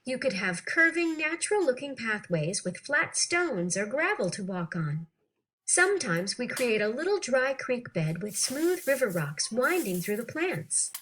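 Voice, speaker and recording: A woman reading an interview text aloud for children in an animated, clearly phrased, teacherly way. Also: on the high side, a little slow, a little hiss or hum, poor audio. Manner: animated, clearly phrased, acting out questions and answers.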